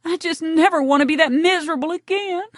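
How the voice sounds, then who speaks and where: Woman acting a melodramatic, theatrical young woman, pitched up. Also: fast, no room sound, studio quality. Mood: tearful, sad. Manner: theatrical, intense delivery. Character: melodramatic young woman, self-pitying aspiring model, nosy.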